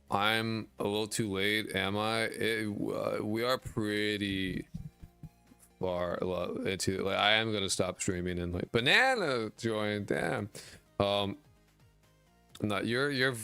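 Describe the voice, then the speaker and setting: A very deep, raspy male voice, tired and frayed after hours of nonstop talking. He mumbles through a casual, rambling stream chat, filled with fillers and the odd curse. It is a dry, close desk mic with faint music underneath. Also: slow pace, pushed and bright, no echo. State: voice worn out from twelve hours of talking. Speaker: indie game dev streamer. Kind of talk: casual live-stream chat reading viewer messages. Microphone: desk mic with a high-pass filter.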